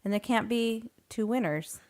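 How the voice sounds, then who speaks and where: A woman's voice: fairly high pitch, normal speed, a little mellow. A woman co-hosting a podcast in casual chat, chatty, laughing between words and hedging with fillers. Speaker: podcast co-host.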